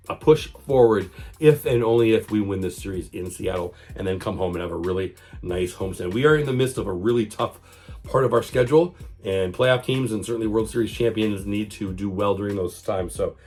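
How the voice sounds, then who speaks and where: A man with a hoarse voice from a cold, hosting a casual sports fan vlog and talking chatty and repetitive. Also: fairly low pitch, moderate pace, a little mellow, faint music behind. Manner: chatty, repeats himself, fan talk. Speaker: channel host.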